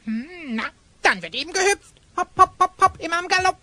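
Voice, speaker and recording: A tiny, cheeky kobold with a very high, squeaky voice and a sharp edge. It grumbles, chatters and mocks in the manner of a fantasy audio play. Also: animated, a little fast, full character voice. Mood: mocking. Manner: mocking, chattering. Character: cheeky, grumbling little elf kobold sidekick. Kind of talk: German audio play acting.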